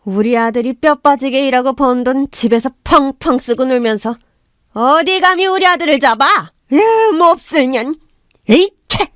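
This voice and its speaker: A woman's voice: sits high, fairly even intonation, moderate pace, few pauses, clean tone, dry recording, studio quality, close to an everyday voice. A woman voicing a scornful, insulting older mother-in-law who shrieks and sneers.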